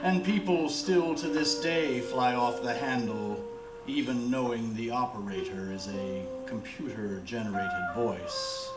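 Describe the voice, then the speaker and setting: A man narrating as host of a radio suspense anthology, with measured, theatrical scripted delivery. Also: medium pitch. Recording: echoey, loud music underneath. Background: loud music.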